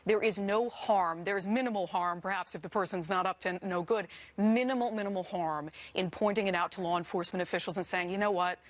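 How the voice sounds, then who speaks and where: A woman anchoring morning news with a somewhat low voice. She speaks off the cuff in an earnest way, leaning on repeated words for emphasis. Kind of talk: earnest ad-libbed news commentary.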